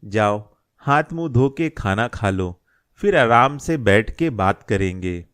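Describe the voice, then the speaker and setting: A man's voice: fairly low pitch, animated, slow pace, a bit bright, smooth. A man voicing an elderly grandfather in a cartoon moral story, a plain-spoken shopkeeper who grumbles about his son. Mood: welcoming.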